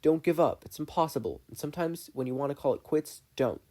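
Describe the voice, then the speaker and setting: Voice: medium pitch, a little fast, mellow, pitched above the performer's own voice, natural voice. A narrator voicing a protective, encouraging, fiery older great-uncle in a fan fiction reading. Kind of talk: fan fiction audiobook character voice.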